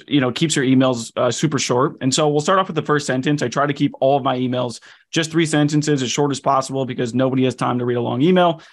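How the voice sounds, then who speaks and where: Fast-talking male sales guest. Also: medium pitch. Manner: relaxed, filler-heavy explaining. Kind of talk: casual explanatory talk.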